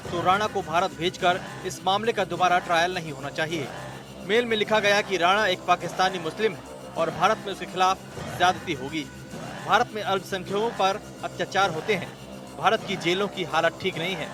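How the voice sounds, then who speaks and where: A man's voice: high-pitched, normal speed, music in the background. A man reading a scripted television news report as a voice-over.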